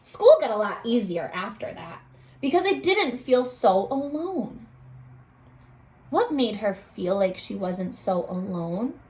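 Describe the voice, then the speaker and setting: A woman teacher reading a picture book aloud to young children, expressively, pausing to put questions to them. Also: medium pitch, poor audio. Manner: animated, addressing children with questions and pauses.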